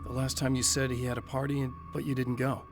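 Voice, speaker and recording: A man's voice: mid-range voice, level tone, fast, natural voice. An actor playing a tense, determined male writer who gives firm, clipped orders in a video game. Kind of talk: video game cutscene acting. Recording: game audio over music.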